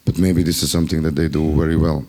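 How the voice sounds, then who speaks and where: A man from Bosnia speaks up in a group discussion, his voice low and mellow. He has a Bosnian accent and a wry, ironic way of talking, and now and then he stops to look for the right word. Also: level tone, quick pace. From Bosnia and Herzegovina. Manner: wry, ironic, searches for words. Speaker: festival workshop participant. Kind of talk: spontaneous discussion remarks.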